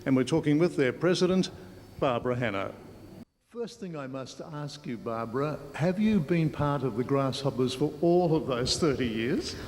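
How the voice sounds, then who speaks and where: A man's voice: medium pitch, expressive, moderate pace, a little mellow. A man with an Australian accent, working as a television reporter and doing an on-location interview in a large indoor space. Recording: echoey hall with clear background noise.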